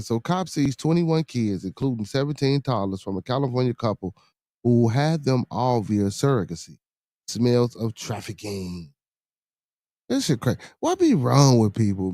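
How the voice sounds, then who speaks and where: Middle-aged chicago guy on a podcast stream, mellow low tone, dry close sound, cussing and laughing, says stuff twice. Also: sing-song, moderate pace, dry recording. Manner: loose, profane, laughs often, repeats himself. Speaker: podcast host reading live chat. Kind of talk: casual livestream chat.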